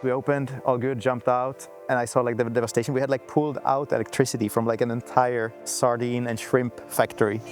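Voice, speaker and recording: A man's voice: mid-range voice, little pitch movement, brisk, few pauses, soft background music. A man being interviewed, telling his story loosely, with many restarts and fillers. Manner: loose, filler-heavy talk with frequent restarts.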